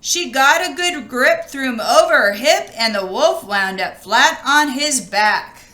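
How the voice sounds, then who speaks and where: A woman reading a children's storybook aloud like a teacher, expressive and sing-song on the rhymes. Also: sits high, unhurried.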